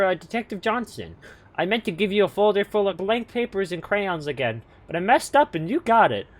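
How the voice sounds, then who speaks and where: A man playing an exasperated but fatherly police chief in a comedic audio drama, speaking in a halting, folksy way. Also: sits high, fairly bright tone. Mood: grudgingly supportive. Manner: halting, hesitant, folksy phrasing.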